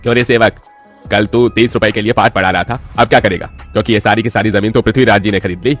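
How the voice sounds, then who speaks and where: A man playing a commanding, gruff rural landowner and patriarch in a cartoon moral story, with an authoritative manner. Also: lowish voice, fairly even intonation, quick pace, a bit bright, faint music behind. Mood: taunting.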